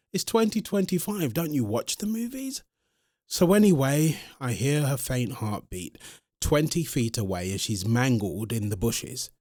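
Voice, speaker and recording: A man acting as a smitten male vampire talks straight to his listener in an audio roleplay. His mid-pitched voice rises and falls with lots of animation. The delivery is chatty and conversational, and the sound is very dry, with no room around it. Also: a little mellow, dry recording. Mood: incredulous. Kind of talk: scripted first-person audio roleplay storytelling.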